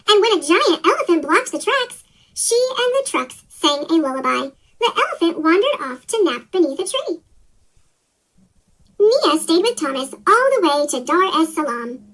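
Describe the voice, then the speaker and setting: A woman reading a children's story aloud as scripted narration, her voice sped up with a chipmunk pitch-shift effect. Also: fairly bright tone, poor audio.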